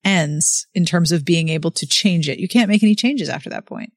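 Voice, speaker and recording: A woman's voice: on the low side, a little fast, dry recording. Dry-sounding woman host, wry and affirming. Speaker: podcast host. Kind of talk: casual interview chat.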